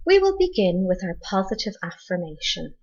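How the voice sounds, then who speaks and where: A woman leading a scripted guided meditation like a teacher, in a measured, instructional manner with deliberate pauses. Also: medium pitch, big pitch swings, darkish tone, muffled recording.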